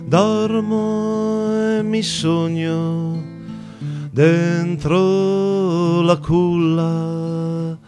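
Man singing a very slow guitar ballad. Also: on the high side, flat delivery. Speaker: singer and guitarist. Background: plucked guitar.

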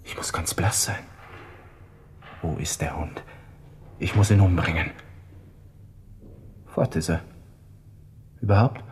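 An actor playing a panicked, proud young army lieutenant in a radio drama monologue, talking to himself with a Viennese accent. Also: male voice, sits low, steady tone, takes it slowly, long pauses, a little hiss or hum. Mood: anxious, bewildered. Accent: Viennese Austrian. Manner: agitated self-talk, from hushed muttering to outbursts.

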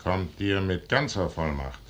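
An actor playing a proud, shrewd imperial general in a classic German radio play, with theatrical, emphatic verse delivery. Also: male voice, low voice, expressive, a little slow. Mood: probing, haughty. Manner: theatrical, emphatic delivery swinging between hushed brooding and forceful declamation.

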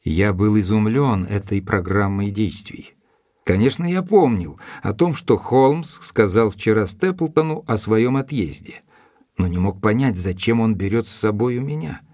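An actor playing a loyal gentleman companion who narrates a detective audio drama in a measured, composed way. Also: fairly low pitch, expressive, dry recording, clean studio sound. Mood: reflective.

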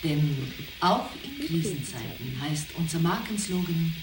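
A woman's voice: deep voice, lively intonation. A woman playing a reassuring, polished commercial announcer in an advertising spot within a radio drama. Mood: reassuring, promotional. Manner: slow, emphatic sales delivery. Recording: echoey, loud music bed.

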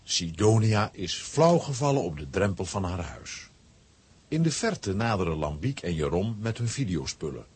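A man's voice: deep voice, big pitch swings, normal speed, a little mellow, slight background noise. A man narrating a scripted children's audio drama as its storyteller. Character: storyteller relating a comic adventure.

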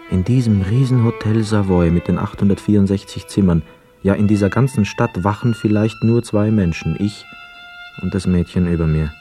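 An actor playing a lonely, melancholy young returned soldier in a radio play, quiet, measured and husky, often narrating in the first person. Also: male voice, low voice.

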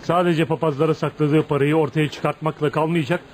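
A man's voice: on the high side, fairly even intonation, brisk, close to an everyday voice. An actor playing a bitter, tormented outcast man of thirty-five in a radio play, with dramatic, emotional delivery. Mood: defiant, vengeful. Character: tormented, bitter 35-year-old outcast man, brooding and volatile. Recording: noisy radio drama recording.

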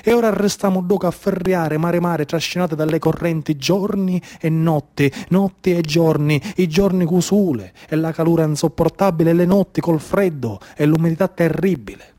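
A man's voice: fairly high pitch, normal speed, hardly any pauses, dark tone, close to an everyday voice. A man playing a desperate, exhausted migrant adrift at sea, with a Sicilian accent and urgent, repetitive phrasing, in a theatrical radio monologue. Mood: grim, despairing.

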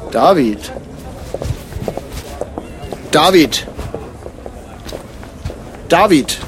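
A man acting a clueless, irritable sidekick in a radio comedy. His high voice swings widely as he stammers bluntly, with music behind him. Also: fairly bright tone, full character voice. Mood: panicked. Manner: stammering, repeating words, blunt. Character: flustered, irritable, clueless buddy. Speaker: comic sidekick in an audio play.

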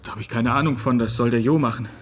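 An actor playing a shaken, self-absorbed film star in a radio play, with halting, theatrical phrasing. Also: male voice, medium pitch, natural voice. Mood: guarded, bitter.

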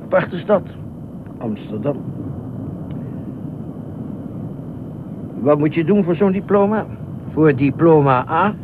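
An actor in a Dutch radio play as an anxious, obsessive widowed ex-fireman who speaks haltingly, repeats himself and nitpicks. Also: lively intonation, natural voice. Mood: pedantic, insistent. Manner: halting, repetitive, pedantic, fretful. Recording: muffled narrow-band old radio recording, faint rumble.

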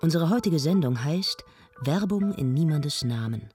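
An actress playing an earnest arts presenter, narrating a scripted mock documentary in a measured, formal, literary manner. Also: female voice, low-pitched, animated, warm tone, soft background music. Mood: solemn welcome.

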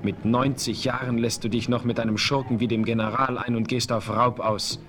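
A noble Apache chief in a radio play speaks sternly and formally, often calling himself by his title , as a man voices him. His mid-range voice stays measured and dignified. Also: medium pitch, little pitch movement, over music, lightly acted. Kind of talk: 1970s radio play acting.

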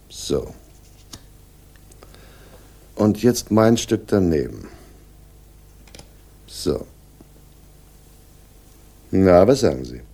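Husky, low-voiced sardonic police inspector. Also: male voice, warm tone, full character voice. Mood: dryly superior. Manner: dry, condescending, deliberate. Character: sardonic, shrewd male police inspector, world-weary but superior. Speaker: actor in a radio crime drama. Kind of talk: 1960s German radio play acting, dialogue.

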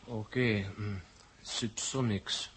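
A brisk, bossy technical expert in a seventies science-fiction radio play. His voice is husky and sharp-edged, and he hands out instructions with little patience. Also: lowish voice, fairly even intonation, full character voice. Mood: musing, explanatory. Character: impatient male technical expert in a spy sci-fi serial. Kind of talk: 1970s radio drama acting.

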